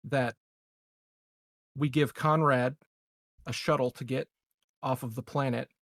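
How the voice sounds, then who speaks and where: A man in a casual tabletop roleplaying game, laying out plans with deliberate, measured phrasing. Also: mid-range voice, fairly even intonation, fairly bright tone, no echo, studio quality.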